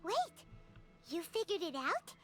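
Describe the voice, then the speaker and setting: A tiny, excitable anime game companion with an extremely high, squeaky child's voice. The delivery is animated and drawn out, full of curious exclamations. Music plays clearly behind. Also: female voice, a little slow. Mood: excited. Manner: exclamatory, questioning. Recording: background music under game dialogue.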